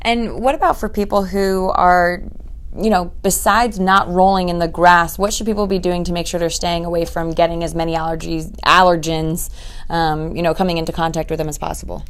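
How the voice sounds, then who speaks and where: A slightly hoarse woman interviewing a doctor in a friendly, chatty way. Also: lowish voice, level tone, a little fast. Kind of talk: interview questions.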